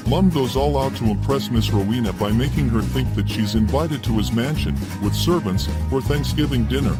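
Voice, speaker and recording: A man with a mid-pitched voice narrates scripted program introductions as a radio host. Music plays loudly behind him throughout. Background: loud music.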